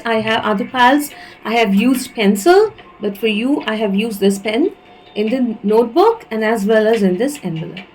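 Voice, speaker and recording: A fairly high-pitched woman with an Indian accent gives a slow, searching tarot reading, often pausing and restarting her sentences. Soft music plays quietly behind her voice. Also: poor audio. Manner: hesitant, many fillers and restarts. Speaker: tarot reader.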